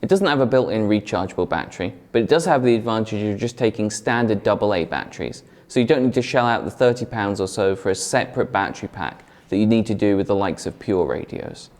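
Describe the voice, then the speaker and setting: Lower-voiced man presenting a review. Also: expressive, fast, few pauses, a little mellow. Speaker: product reviewer for a retailer. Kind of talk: scripted product review voice-over.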